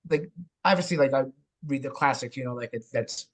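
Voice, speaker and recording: A man's voice: medium pitch, brisk, husky. A man talking as a podcast guest in casual interview chat, informal and encouraging. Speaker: theatre maker and podcast guest.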